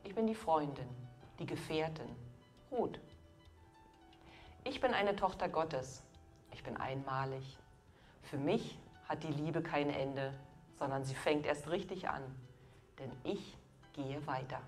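A woman in her fifties delivering a scripted sermon in measured, deliberate phrases with pauses. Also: low-pitched, faint music behind. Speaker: preacher.

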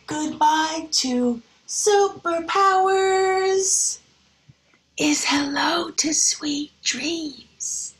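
A woman teacher reading a picture book and talking to young children in an expressive, deliberate, child-directed way. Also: extremely high pitch, rough recording. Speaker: schoolteacher reading to pupils. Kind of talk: picture-book read-aloud and talk to children.

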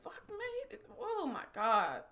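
A young woman giving casual, excitable movie reaction commentary full of slang and swearing. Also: very high voice, slow pace. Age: young adult. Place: small bedroom studio, indoors. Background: film soundtrack music.

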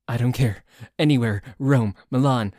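Man acting a mellow, grumbling tsundere boyfriend. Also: mid-range voice, no room sound, studio quality. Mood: irritated, combative. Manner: bickering, halting, sighing, cocky. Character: dorky, jealous, grumbling tsundere boyfriend who dislikes a cat. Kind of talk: ASMR boyfriend roleplay.

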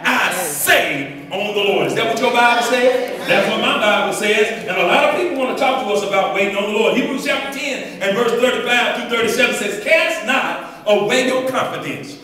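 A man's voice: high voice, animated, moderate pace, few pauses, sharp tone. An older man preaching a sermon to a congregation, calling out and drawing responses in a loose, colloquial way, on a handheld mic. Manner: call-and-response preaching, colloquial, calls out "huh?". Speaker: pastor. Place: church hall, walking the floor before a congregation. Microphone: handheld microphone, filmed by a far camera. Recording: echoey hall from far off, congregation.

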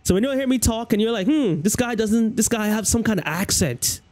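High-voiced Bahamian man streaming games. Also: lively intonation, a little fast, a little mellow. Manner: loose, rambling, repeats phrases. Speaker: game streamer. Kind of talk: casual livestream chat with viewers. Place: small room at home, indoors. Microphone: headset.

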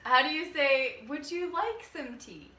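A woman's voice: sits high, lively intonation, measured pace, sharp tone. A young woman hosting a casual chat over tea, chatty and eager, with a ready laugh. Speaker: host. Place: small bright room with a tea table. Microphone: far camera. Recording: echoey room from far off, faint hiss.

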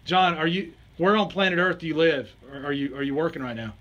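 A man's voice: on the high side, fast, fairly bright tone, lo-fi sound. A man in his thirties speaking as an attorney in a small office, teasing and mock-serious as he presses with questions. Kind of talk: bantering speakerphone call with a scam caller. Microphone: handheld phone camera at close range. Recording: noisy handheld phone video.

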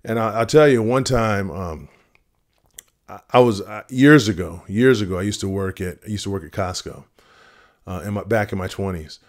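A man in his fifties with a deep voice, giving earnest advice straight to camera in a casual vlog, with fillers and restarts. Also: normal speed. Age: 50s. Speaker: retirement vlogger. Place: home room with shelves of vinyl records. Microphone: camera at close framing.

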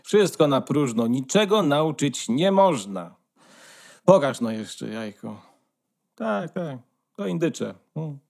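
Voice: medium pitch, big pitch swings, slow pace, creaky, darkish tone, full character voice. A performer playing a nosy old female duck neighbour in a radio play, opinionated and folksy. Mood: knowing, grumbling.

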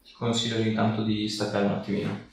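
A man's voice: lowish voice, monotone, fast, creaky. A man working as a chiropractor, giving short, reassuring instructions and questions to a patient during a hands-on exam. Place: indoor treatment room. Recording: very echoey room, distant mic, faint noise.

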